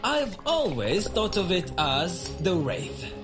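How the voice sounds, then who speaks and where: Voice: medium pitch, big pitch swings, takes it slowly, music in the background. A performer playing a proud, refined and fussy gadget inventor in a pulp radio drama.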